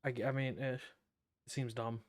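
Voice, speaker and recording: A man's voice: mid-range voice, level tone, normal speed. A man giving casual, sarcastic gameplay commentary as a streamer, offhand, with game music behind him.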